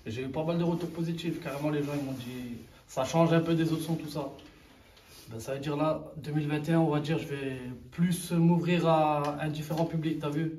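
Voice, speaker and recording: A man's voice: on the high side, fairly even intonation, fairly quick, a little mellow, distant mic. A man in his twenties, a rapper, chatting in slangy street talk and peppering it with filler tags. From Sri Lankan heritage, raised in France. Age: 20s. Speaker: rapper interviewee. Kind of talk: casual street interview. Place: outdoors in a neighborhood. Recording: roomy, from off the mic, faint street noise.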